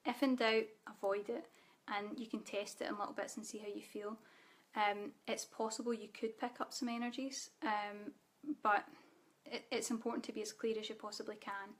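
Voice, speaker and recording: Soft-spoken Scottish woman, even tone. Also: medium pitch, moderate pace, few pauses. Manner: soft guided-meditation delivery with fillers. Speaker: spiritual teacher. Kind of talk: live online group training.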